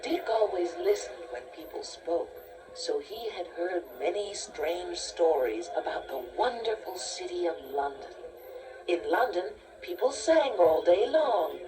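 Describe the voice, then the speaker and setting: Slow, low-voiced woman narrating a storybook. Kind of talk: scripted children's story narration. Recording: distant-sounding cassette tape transfer. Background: music.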